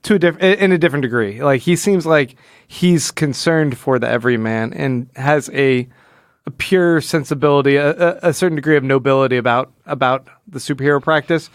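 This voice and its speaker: A man's voice: mid-range voice, fairly quick, clean tone, dry recording, studio quality. A man hosting a casual comic book podcast in a wry, jokey way, often acting out imagined dialogue. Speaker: podcast host.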